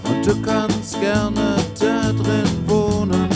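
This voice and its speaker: A woman delivering spoken-word verse in drawn-out, chanted short phrases. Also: mid-range voice, flat delivery. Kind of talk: slow spoken-word song intro, half sung. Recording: loud guitar music over voice. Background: plucked guitar music.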